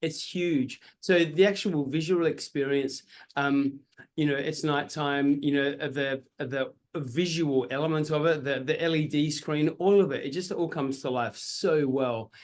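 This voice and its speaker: A man's voice: a little high, unhurried. An Australian man hosting an interview, casual and enthusiastic, full of hype for the show. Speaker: radio host. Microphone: video call.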